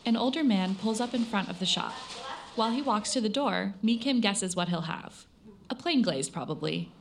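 Woman reporter, scripted narration, gravelly fry in the voice, faint ambience behind. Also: mid-range voice, expressive, a little hiss or hum. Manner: measured storytelling delivery. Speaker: radio reporter.

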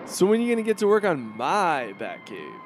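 Guy doing a spoiled cocky rich teen for a cartoon, whiny highish voice, bit of background noise. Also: huge pitch swings, fairly quick. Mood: bratty, entitled. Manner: pompous, petulant, foul-mouthed. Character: spoiled, arrogant, whiny teenage rich boy playing vigilante. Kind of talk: comedic cartoon voice acting.

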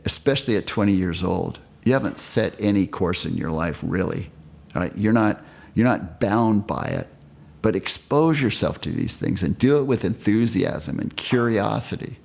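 A deep, heavily gravelly man from California speaks as a podcast guest. His husky voice carries emphatic, encouraging advice. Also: animated, normal speed, darkish tone.

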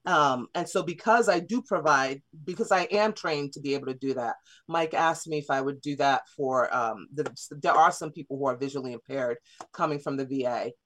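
A woman's voice: a bass voice, normal speed, a bit bright. Woman, guest on a studio radio show, casual and chatty, many fillers. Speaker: theater artist and guest.

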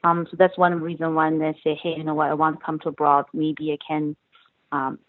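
A woman's voice: deep voice, monotone, a little fast, no echo. A woman from northeast China, an English speaker, answering interview questions in a hesitant way with many fillers and restarts. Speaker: guest, company finance chief. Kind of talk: podcast interview, casual chat. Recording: dry tinny phone-like line.

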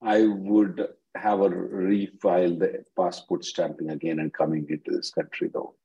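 A man with a soft, dark tone hosts a legal question-and-answer call. He is blunt and impatient with callers, and repeats himself as he advises. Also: deep voice, flat delivery, normal speed, husky, muffled recording. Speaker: immigration attorney hosting a conference call.

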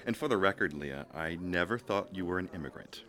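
Audio drama best mate, male actor: young, forceful tone, very animated delivery, joking and passionate about soccer. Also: low-pitched, brisk. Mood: bantering. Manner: bantering, animated.

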